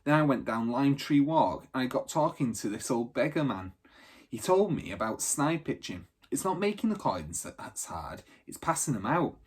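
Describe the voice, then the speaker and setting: A man's voice: mid-range voice, very animated, normal speed, breathy edge. A man voicing an earnest, practical street boy from a storybook gang in a colloquial, matter-of-fact way. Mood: earnest reporting.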